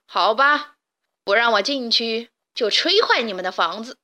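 Sly bully big bad wolf from a storybook, loud and coaxing, higher voice, as a woman puts him on. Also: expressive, a little slow. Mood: threatening. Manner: loud coaxing then threatening.

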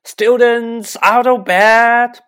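A man voicing a mischievous poltergeist in a storybook, taunting in a drawn-out, gleeful sing-song. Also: mid-range voice, big pitch swings, a bit bright, exaggerated voice. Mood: bellowing.

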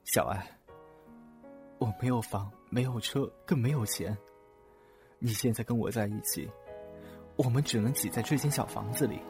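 Man acting a gentle young boyfriend, soft music behind. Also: mid-range voice, close to an everyday voice. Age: young adult. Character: gentle, proud but poor young boyfriend, teasing and caring. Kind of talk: Mandarin radio drama acting.

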